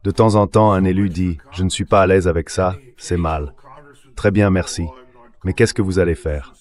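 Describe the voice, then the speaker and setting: A man's voice: low-pitched, steady tone, moderate pace, darkish tone. A man's synthetic dubbing voice giving political commentary as an interview guest.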